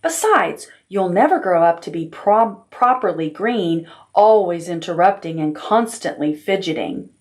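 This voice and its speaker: A woman's voice: lowish voice, animated, measured pace, hardly any pauses. A woman voicing a nervous old male snake in a storybook, complaining and scolding. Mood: irritated scolding.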